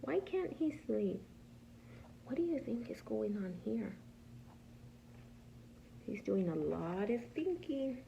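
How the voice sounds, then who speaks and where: A woman reading a picture book aloud as a preschool teacher, pausing to ask small children simple questions and patiently explaining the answers. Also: a little high, vocal fry, dull audio, slight background noise. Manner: animated, asks simple questions and answers them herself.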